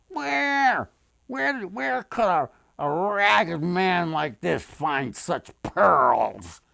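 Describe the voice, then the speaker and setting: A man voicing suspicious, disbelieving townsfolk in a folktale, with incredulous, drawn-out words. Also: sits high, big pitch swings, creaky, bright, forceful tone, no echo, pitched above the performer's own voice, heavily acted.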